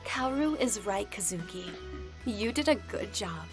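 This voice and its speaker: An actress voicing a firm, serious captain of an investigation agency in a visual novel, with an assertive manner. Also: female voice, mid-range voice, animated, measured pace. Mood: approving, firm. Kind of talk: English visual novel voice acting. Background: music.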